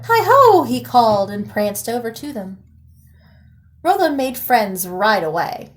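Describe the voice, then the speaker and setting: A woman teacher reading a children's picture book aloud in an expressive, animated storytelling manner. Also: mid-range voice, unhurried, a little hiss or hum.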